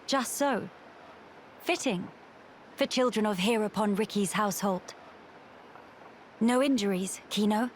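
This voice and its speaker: Slow-spoken, dignified young noblewoman played by an actress. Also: mid-range voice, a little hiss or hum, natural voice. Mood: composed, courteous. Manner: formal, measured, stately diction. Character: composed, dignified young noblewoman, formal and reserved. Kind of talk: video game cutscene acting.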